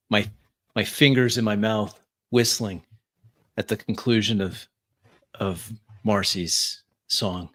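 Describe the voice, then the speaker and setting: A man narrating his character's actions in first person during a tabletop roleplaying game, in deliberate, dramatic phrases. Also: lowish voice, fairly bright tone.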